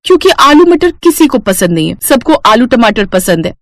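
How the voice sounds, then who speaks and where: A woman voicing a quarrelsome young daughter-in-law in a family story, shrill and argumentative. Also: sing-song, moderate pace, dry recording, studio quality.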